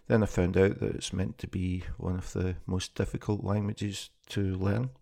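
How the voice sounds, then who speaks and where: A man from Scotland with a Scottish accent, introducing his first podcast in a plain, conversational way with small pauses and restarts. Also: sits low, vocal fry, darkish tone. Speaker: podcast host. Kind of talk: casual spoken podcast introduction.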